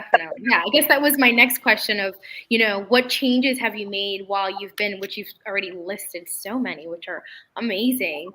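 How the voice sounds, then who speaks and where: A woman's voice: medium pitch, sharp tone, low-quality recording. A woman moderating an interview, asking questions encouragingly and murmuring agreement. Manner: encouraging, frequent backchannel agreement.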